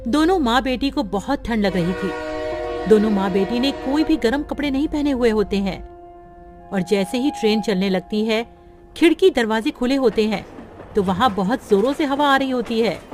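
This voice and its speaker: A woman narrating a cartoon story for children in a gentle, steady manner. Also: fairly high pitch.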